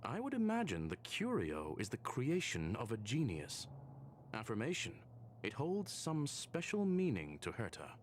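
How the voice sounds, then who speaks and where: An actor playing an analytical robot companion in a video game, speaking in a stiff, polite, pedantic way. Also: male voice, mid-range voice, huge pitch swings, normal speed, slight background noise. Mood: detached, analytical. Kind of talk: scripted video game voice acting.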